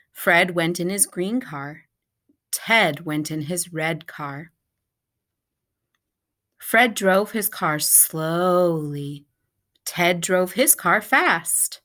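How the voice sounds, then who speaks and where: A woman reading a children's picture book aloud in a deliberate, measured way, like a teacher reading to pupils. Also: on the low side, a bit bright. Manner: deliberate, measured storybook delivery.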